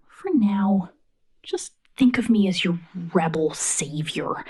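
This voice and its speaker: Woman voicing a rebel robot girl, young, possessive, husky whisper and soft speech, medium pitch, intimate ASMR roleplay, slow teasing menace. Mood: possessive, smug. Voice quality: breathy, whispery.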